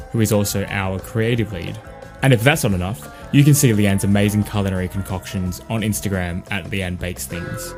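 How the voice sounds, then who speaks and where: A man's voice: fairly low pitch, darkish tone. A man reading a scripted podcast outro and credits as the host. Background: music with guitar.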